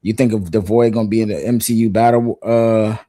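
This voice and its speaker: A man's voice: fairly low pitch, steady tone, a little fast, dark tone, no echo. A man in his thirties hosting a casual pop culture chat, laid-back and slangy, repeating himself for emphasis. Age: 30s.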